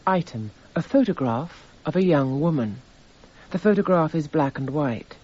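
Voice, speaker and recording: A detached narrator, sets out scenes and items in a measured way for a radio drama. The voice is soft-toned and mellow, on a slightly muffled recording. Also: male voice, fairly high pitch, lively intonation, breathy edge. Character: detached male narrator listing evidence items.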